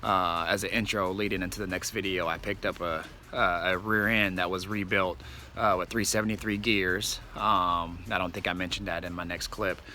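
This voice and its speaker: Car vlogger, man, slightly raspy, laid-back rambling delivery, bright forceful tone, faint background noise. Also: deep voice, level tone, normal speed. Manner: laid-back, rambling, many ums, says y'all. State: slightly raspy, losing his voice. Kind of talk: casual vlog chat to viewers.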